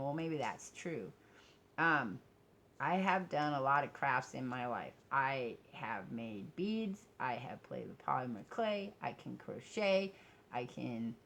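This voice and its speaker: A woman with a strikingly deep voice chats in a loose, rambling vlog style. She is frank and self-deprecating, often stopping and restarting as she works out her thoughts aloud. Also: fairly bright tone. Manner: candid, self-deprecating, blunt. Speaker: vlogger. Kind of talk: casual rambling personal vlog.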